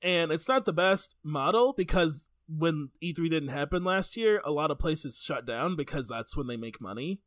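Male podcast host, informal and joking storyteller, very lively intonation, close dry sound. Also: fairly high pitch, normal speed, few pauses, no echo. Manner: informal, jokey, sweary. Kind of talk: casual chatty podcast storytelling.

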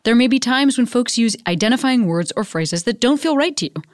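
A woman's voice: on the high side, lively intonation, brisk, dry recording, clean studio sound. A woman from the Chicago suburbs hosting a podcast, chatty and joking at her own expense. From Chicago suburbs, USA. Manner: self-deprecating, chatty, joking asides. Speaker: podcast host. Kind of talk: casual chat.

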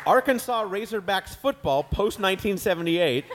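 A man hosting a live comedy talk show, running the segment in a brisk, wry way. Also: high-pitched, animated, noisy background. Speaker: host. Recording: long hall reverb.

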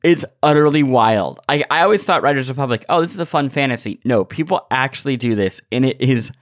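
Animated man chatting on a podcast. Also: medium pitch, a little fast, no room sound, clean studio sound. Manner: animated storytelling, acting out reactions in quoted lines. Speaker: podcast co-host. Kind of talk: casual comedic chat.

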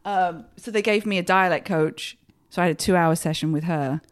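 A woman's voice: fairly low pitch, normal speed. A woman with a southern English accent, chatting casually as a podcast guest and telling stories with dry, wry humor. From England. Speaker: actor guest. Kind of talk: casual chat.

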